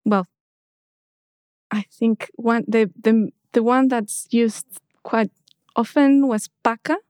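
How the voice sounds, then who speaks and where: A woman in her thirties from Mexico, a guest in a casual interview, who talks hesitantly with many restarts and soft laughs. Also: fairly high pitch, steady tone, takes it slowly, clean tone, no echo, studio quality.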